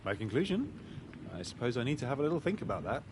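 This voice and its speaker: A man's voice: medium pitch, big pitch swings, fast talker, noisy background, natural voice. A man voicing a polite, bumbling, mildly pompous pig detective in a video game, musing hesitantly. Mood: earnest, polite. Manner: hesitant, ponderous, courteous. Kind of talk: video game character acting. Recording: loud music behind the voice.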